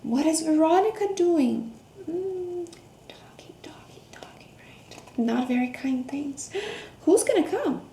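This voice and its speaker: A woman reading a picture book aloud to children, expressive, with questions, gasps, hums and hushed asides. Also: high voice, slow. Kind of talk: interactive children's read-aloud with questions to young listeners. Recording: roomy echo, faint background noise.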